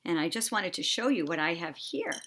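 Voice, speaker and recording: A middle-aged woman, an expressive storyteller reading aloud for young children. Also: lowish voice, a little fast.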